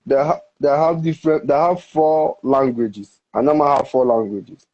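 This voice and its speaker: A Nigerian man whose first language is Ika, explaining at length with halting restarts in an interview. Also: a little high, steady tone, darkish tone. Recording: dull, muffled recording.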